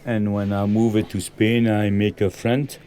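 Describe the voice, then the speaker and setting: A man from Belgium, a football coach, answering interview questions in halting full of ums and loose grammar. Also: lowish voice, level tone, warm tone, slight background noise.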